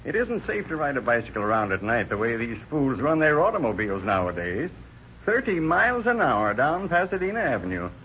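An actor playing a folksy, avuncular small-town druggist in an old radio drama. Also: male voice, mid-range voice, sing-song, brisk, sharp tone. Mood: grumbling. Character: kindly, grumbling small-town druggist, a grown man. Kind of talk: 1930s radio acting. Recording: muffled, noisy old radio recording.

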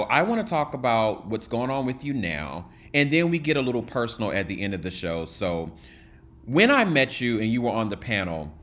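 A man's voice: on the low side, a bit bright. A man hosting a conversational interview, speaking in an effusive, emphatic way. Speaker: talk show host, interviewer.

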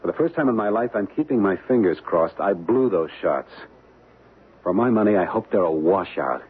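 An actor playing a breezy, reluctant young American husband on honeymoon in an old-time radio drama, with slangy, halting, casual talk. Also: male voice, sits low, expressive, phone-quality sound, slight background noise. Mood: wary, reluctant. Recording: band-limited old radio broadcast.

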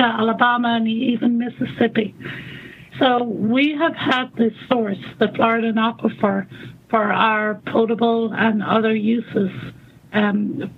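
A woman in her fifties with an Irish accent, a guest explaining her work in a measured way with frequent ums. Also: on the high side, creaky. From Ireland. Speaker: guest, water conservation coordinator. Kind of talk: remote interview conversation. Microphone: phone call. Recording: tinny phone line, faint noise.

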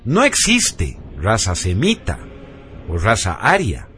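A man's voice: on the low side, huge pitch swings, slow, fairly bright tone. A man reading a scripted radio narration in a solemn, emphatic, declamatory manner. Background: background music.

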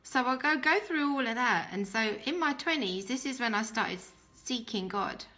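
Earnest woman, bright voice. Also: on the high side, expressive, moderate pace. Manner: earnest, meandering, many fillers. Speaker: podcast host. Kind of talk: personal faith testimony.

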